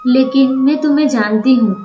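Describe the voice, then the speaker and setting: A woman's voice: high voice, moderate pace, mellow, roomy sound, highs cut off, soft background music, close to an everyday voice. A woman playing a grave, centuries-old female spirit who gives warnings in a cartoon story. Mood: urgent, insistent. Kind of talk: Hindi cartoon story dialogue.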